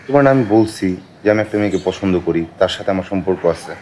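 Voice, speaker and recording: A man's voice: low voice, expressive, rapid-fire. An actor playing a devoted, headstrong young man in love in a television drama. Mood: annoyed. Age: young adult. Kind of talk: TV drama acting.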